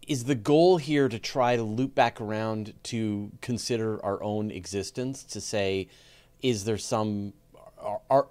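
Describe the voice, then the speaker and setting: A man hosting a conversational science podcast interview, feeling his way tentatively through his questions. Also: on the low side.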